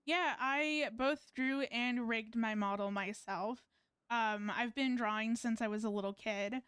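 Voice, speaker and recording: Female VTuber, casual rambling game-stream chat, high clear voice, very little room sound. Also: measured pace, a bit bright, dry recording. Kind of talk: casual livestream chat while gaming.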